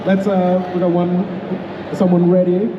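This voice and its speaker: A man's voice: sits high, little pitch movement, a little slow. Male moderator, friendly, far from the mic, dull lo-fi sound. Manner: friendly, laughing, encouraging. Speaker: panel moderator. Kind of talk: casual hosting. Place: large convention hall. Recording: echoey hall, distant, dull with crowd noise.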